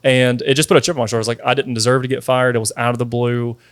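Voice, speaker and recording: A man's voice: medium pitch, level tone, rapid-fire, smooth, dry recording. A man of thirty, a company founder, telling his story in a casual interview, chatty and full of "like" and "dude". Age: 30s. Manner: chatty, many fillers like "like" and "dude". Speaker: guest, sweet tea company founder.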